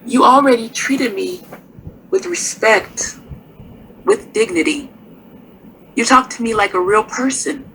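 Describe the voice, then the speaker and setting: An actress playing a poised, worldly nightclub singer in a radio drama, with theatrical, wryly warm delivery. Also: female voice, on the high side, sing-song, slight background noise, heavily acted, rough recording. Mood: gentle. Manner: theatrical, unhurried, uses endearments like darling and honey.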